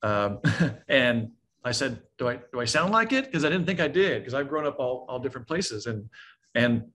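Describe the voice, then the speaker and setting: A man's voice: medium pitch, sing-song, moderate pace, a bit bright. A man from Texas chatting casually as a workshop attendee. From Texas, United States. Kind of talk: casual chat.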